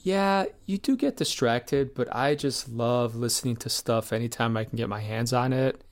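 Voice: mid-range voice, moderate pace. An interview host who asks questions in a loose, chatty way, full of filler words. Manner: loose, chatty, many "you know" and "like" fillers. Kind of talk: casual interview chat.

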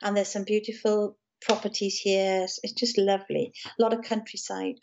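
Gentle woman with a South Welsh accent. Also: medium pitch, moderate pace, vocal fry, muffled recording, slight background noise. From South Wales. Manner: gentle, calm, chatty with small laughs. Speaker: guest, musician and author. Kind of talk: casual interview chat.

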